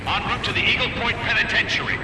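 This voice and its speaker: An actor playing an urgent police officer in a video game, yelling out commands. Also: male voice, high-pitched, fairly even intonation, quick pace, noisy background, natural voice. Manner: yelled commands. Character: shouting, urgent male police officer in battle. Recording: echoey, distant, under loud music.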